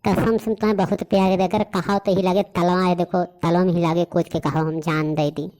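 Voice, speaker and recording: A woman's voice: lowish voice, level tone, moderate pace, a little mellow, close to an everyday voice. A woman voicing a lovestruck young village lad in a comic cartoon, with an Awadhi accent, coaxing and pleading with pet names. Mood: wheedling. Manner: wheedling, full of pet names. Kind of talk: comic rustic cartoon dialogue.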